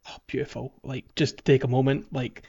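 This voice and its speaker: A man's voice: medium pitch, little pitch movement, a little fast, gravelly, warm tone, slightly rough, lo-fi sound. A man co-hosting a gaming podcast in casual chat, rambling and hesitant with many fillers and restarts. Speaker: podcast co-host.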